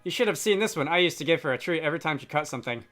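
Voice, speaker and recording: A man reads the part of a young sailor in a fantasy game, easygoing and curious. The voice is bright, lifted a little high, and plain-spoken, over music. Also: animated, very fast. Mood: fond.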